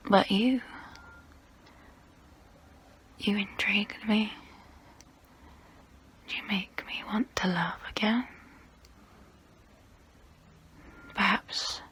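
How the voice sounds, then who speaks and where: Woman playing a slow, whispering, seductive vampire. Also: mid-range voice, fairly even intonation, a bit bright. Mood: seductive, probing. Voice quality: breathy whisper. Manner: slow, intimate, deliberate delivery. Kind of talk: ASMR roleplay monologue, close-up whispering.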